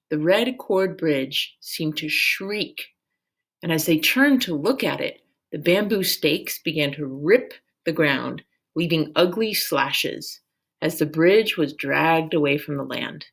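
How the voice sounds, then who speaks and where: A woman storyteller reads a book aloud in an expressive narrating style. Her voice sits slightly low for a woman, and she takes her time, with a very slow, measured pace.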